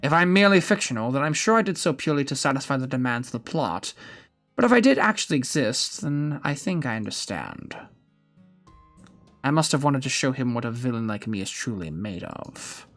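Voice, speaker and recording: A man acts out an aged criminal gentleman with a bright, wide-swinging delivery. He is pompous and gleefully wicked, with a sentimental streak, telling his game story theatrically over faint music. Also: mid-range voice, sing-song, fairly quick. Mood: musing, theatrical. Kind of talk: dramatic reading of game story dialogue.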